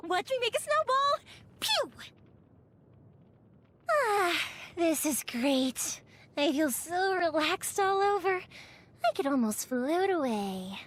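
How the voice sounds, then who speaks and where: An actress voicing a cheerful, chatty young woman who makes fireworks in a video game, playful and expressive. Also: very high voice, heavily acted. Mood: excited, playful. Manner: bubbly, expressive, talkative. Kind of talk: animated video game character voice acting.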